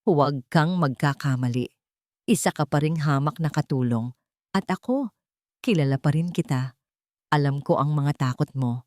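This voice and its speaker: A woman's voice: deep voice, moderate pace, pauses often, a little mellow, dry recording, lightly acted. Woman acting out menacing young man, mocking, jealous, threatening, audio drama villain, dry recording.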